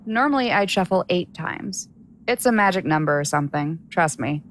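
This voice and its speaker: A woman's voice: on the low side, animated, normal speed, a little hiss or hum, close to an everyday voice. A woman playing a wry, chatty, self-doubting young stage magician in an audio drama. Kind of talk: casual audio drama acting.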